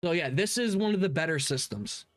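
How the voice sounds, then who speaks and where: Higher-voiced guy, casual gaming commentary. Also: animated, a little fast, no room sound, clean studio sound. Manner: informal, repeats himself emphatically. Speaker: streamer reacting to a game video.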